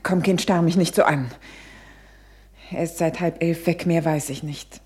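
A woman's voice: low voice, fairly even intonation, a little slow, darkish tone. Anxious, worn-down mother. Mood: strained, weary. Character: anxious, worn-down mother of a missing small boy. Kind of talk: German radio drama acting.

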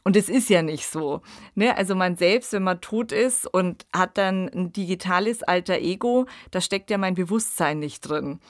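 A woman's voice: lowish voice, normal speed, no room sound, clean studio sound. A woman hosting a podcast, talking conversationally in a discussion. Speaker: podcast host.

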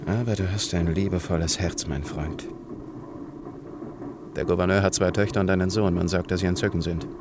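Radio drama: low, creaky-voiced male plotter, persuasive and cautioning, over audible noise. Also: steady tone. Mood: earnest, cautioning. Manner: measured, admonishing. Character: earnest, cautioning male conspirator, measured and persuasive. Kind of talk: German radio drama acting. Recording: noticeable background noise.

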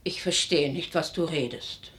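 A woman's voice: low-pitched, measured pace, fairly bright tone. An actress playing an older, poor village mother, insistent, motherly and reproachful, with plain questioning speech in a German radio play. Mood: puzzled.

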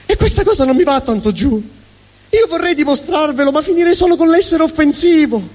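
A man's voice: very high voice, lively intonation, brisk, voice pushed up. A man performing a theatrical comic stage monologue as an angry, vulgar but honest middle finger, ranting sardonically. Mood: indignant, wounded pride. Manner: ranting, sardonic, swooping between mutter and shout. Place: live stage at a fundraising event. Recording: echoey hall reverb, live stage.

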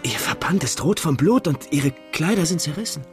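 An actor in a radio drama playing an excitable, earnest schoolboy with a husky voice. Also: male voice, fairly high pitch, normal speed, over music, close to an everyday voice.

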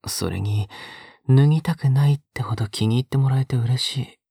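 A woman playing a soft-spoken, possessive young man in an intimate audio drama, coaxing and falsely sweet, with a breathy voice that often drops to a whisper. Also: rumbling low, normal speed, dry recording, clean studio sound, heavily acted.

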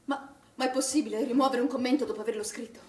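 A woman's voice: fairly high pitch, fairly even intonation, racing, darkish tone, lightly acted. A woman acting a defensive, indignant swimming pool manager in a stage drama, firm and curt as she protests. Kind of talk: theatrical stage drama dialogue. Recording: roomy echo, faint background noise.

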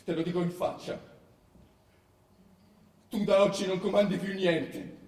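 High-pitched, domineering son. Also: male voice, little pitch movement, unhurried. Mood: menacing. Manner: insistent, forceful, repeats himself. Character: domineering, anguished grown son seizing his father's power. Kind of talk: Italian radio drama acting. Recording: roomy, echoing radio drama recording.

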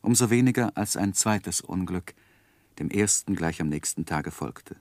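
An actor in a German radio play playing a reflective gentleman who narrates his own life in measured, literary phrases. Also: deep voice, expressive, no echo. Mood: melancholy, reflective. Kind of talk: scripted first-person narration in 1960s German radio drama.

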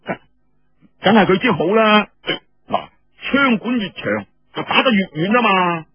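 A man's voice: high voice, lively intonation, unhurried, husky, no echo, muffled recording, pitched above the performer's own voice, heavily acted. A man voicing a swaggering, teasing rebel officer in Cantonese, blustering and mocking as he repeats his words.

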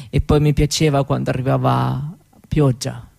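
A dreamy young Roma woman speaks at a very unhurried pace with a gravelly edge to her voice. She tells her memories wistfully and haltingly, repeating phrases softly, as in an intimate radio play. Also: rumbling low, little pitch movement, heavily acted. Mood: fond, nostalgic. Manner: halting, wistful, with soft repetitions. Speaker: actress performing her own monologue. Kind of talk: intimate theatrical monologue for radio.

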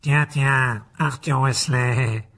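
A haughty wizard patriarch from a storybook, acted by a male narrator. His husky voice sits at an ordinary male pitch and drips with disdain. He drawls his mock-polite phrases as if everyone were beneath him. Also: steady tone, fairly quick. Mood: cold sneering. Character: sneering, contemptuous aristocratic wizard father. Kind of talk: audiobook character voice.

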